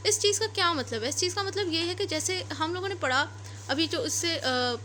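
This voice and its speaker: High, bright-voiced Pakistani woman lecturing. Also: moderate pace, a little hiss or hum. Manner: repeats and rephrases points step by step. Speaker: law lecturer. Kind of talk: explanatory lecture mixing read-out English legal text with Urdu explanation.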